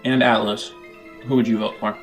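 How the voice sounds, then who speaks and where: A man narrating a tabletop roleplaying game as game master, in a measured, descriptive storytelling manner. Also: fairly low pitch, level tone, creaky. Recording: music under the voice. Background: background music.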